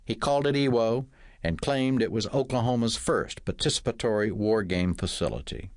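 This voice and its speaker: A man narrates a satirical novel in a fairly low voice. His delivery is measured and scripted, with dry humour. The sound is very dry, with no room around it. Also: no room sound. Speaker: audiobook narrator reading own comic novel. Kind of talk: scripted first-person narration.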